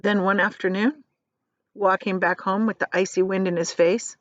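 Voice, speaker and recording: A woman's voice: medium pitch, fairly even intonation, normal speed, no room sound. A woman narrates a children's storybook in a mid-range voice, leaning on key words for drama. The sound is dry and slightly dull, like a simple lo-fi recording. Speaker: teacher reading aloud.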